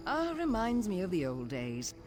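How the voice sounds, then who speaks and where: Droll male thief, lively pitch. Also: moderate pace. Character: witty, flippant male thief with theatrical airs. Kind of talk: video game character acting. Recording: music behind the voice.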